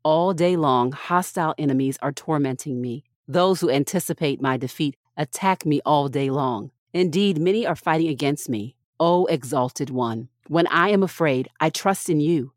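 A woman with a low-pitched voice reads scripture as a podcast host. Her delivery is measured and deliberate, phrased in short, clear lines. The sound is dry, with very little room on it. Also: dry recording.

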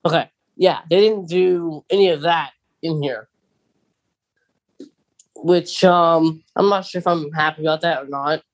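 Mid-pitched podcast host leading the chat. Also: unhurried. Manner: hesitant, many fillers and restarts, leads the questions. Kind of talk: casual chat.

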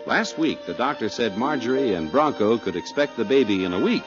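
A man's voice: medium pitch, expressive, fairly quick, over music. An American man announcing for 1950s radio in a polished, genial, salesmanlike style. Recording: dull vintage radio broadcast.

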